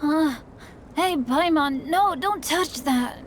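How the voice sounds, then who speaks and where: A woman acts out a good-natured boy adventurer from a video game, asleep and mumbling. The delivery is slow and breathy, slightly high in a boyish way. Noticeable ambient noise lies behind it. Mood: drowsy alarm.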